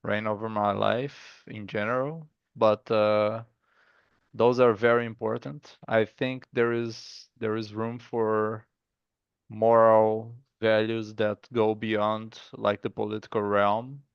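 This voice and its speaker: A man's voice: lowish voice, little pitch movement, takes it slowly, smooth, no echo, muffled recording. A man with a Brazilian accent, a guest in a casual interview, speaking thoughtfully with many fillers and restarts. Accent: Brazilian Portuguese. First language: Portuguese. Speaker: guest artist.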